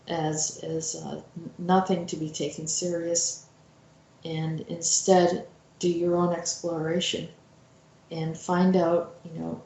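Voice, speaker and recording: A woman host giving informal spoken commentary in a reflective, halting manner. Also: lowish voice, vocal fry, warm tone. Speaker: host introducing a story. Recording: roomy, echoing voice recording.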